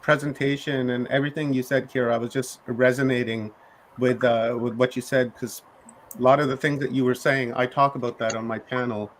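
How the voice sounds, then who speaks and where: A man's voice: mid-range voice, level tone, a little fast, poor audio. A man hosts an online conference over a video call, piling on praise with plenty of filler words. Faint background noise sits under his voice. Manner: effusive, gushing praise with many fillers. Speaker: conference host. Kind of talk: casual online chat.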